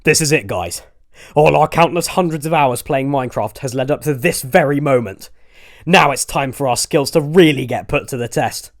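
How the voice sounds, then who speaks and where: A man's voice: medium pitch, normal speed, pitched above the performer's own voice, lightly acted. A man voicing a cocky young male gamer hero with a grandiose, declamatory delivery. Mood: resolute.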